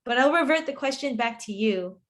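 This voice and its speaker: A woman's voice: a little high, animated, brisk. A woman co-hosting a podcast, talking casually and without a script. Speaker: podcast co-host. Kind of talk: casual unscripted podcast chat.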